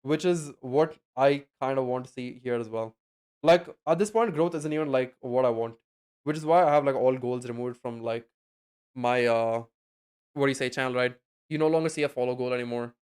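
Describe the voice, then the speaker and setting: A young man talks to his stream chat in a loose, rambling way, leaning on fillers and tossing in swear words. His voice is smooth and clear, at a middle pitch. He sounds like a casual streamer speaking into a desk mic at home. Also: normal speed, darkish tone. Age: young adult.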